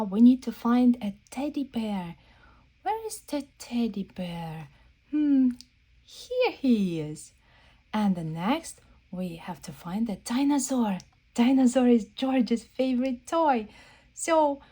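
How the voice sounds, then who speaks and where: A woman's voice: a little high, mellow. A woman reading a picture book aloud to young children, asking simple, repeated questions and prompting them to look. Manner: playful question-and-answer, prompting listeners to look; simple, repetitive phrasing aimed at small children. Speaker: children's read-aloud host.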